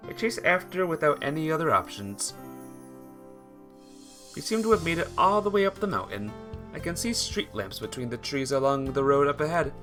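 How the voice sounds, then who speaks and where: Casting: male streamer, lively intonation, casual chat plus story read-aloud, music bed. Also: on the high side, moderate pace. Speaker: game streamer reading a visual novel aloud. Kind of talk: casual livestream chat mixed with expressive read-aloud narration.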